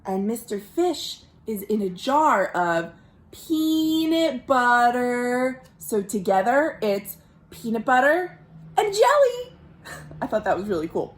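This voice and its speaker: A woman reading aloud expressively to young children as a librarian, with playful asides and dramatic pauses. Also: fairly high pitch, very animated. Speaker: children's librarian, storytime reader.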